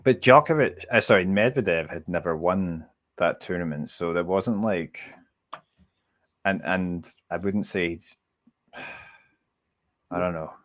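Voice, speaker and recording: A man's voice: low voice, takes it slowly, long pauses, fairly bright tone. A man from Scotland with a Scottish accent, chatting casually on a tennis panel and tending to trail off. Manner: tentative, trails off. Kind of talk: casual chat.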